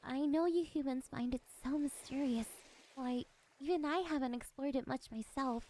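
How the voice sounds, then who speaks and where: A high, feminine siren voice, acted out by a woman for an ASMR roleplay, with some noise behind it. She is lonely and curious, coaxing the listener wistfully. Beneath the politeness she is possessive and quietly menacing. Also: normal speed. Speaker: voice actress.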